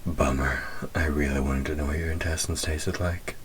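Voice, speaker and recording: A man's voice: rumbling low, steady tone, moderate pace, a little hiss or hum. A man playing a taunting, predatory demon who trains a human hunter, in an audio roleplay spoken to the listener, teasing and menacing. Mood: sardonic, impatient. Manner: teasing, menacing, drops into whispers.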